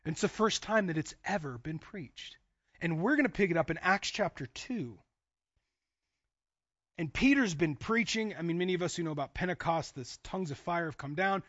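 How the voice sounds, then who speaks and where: A man's voice: a little high, fairly bright tone, no room sound, muffled recording. A man preaching a sermon to a congregation in an emphatic way, acting out imagined dialogue and repeating phrases for weight.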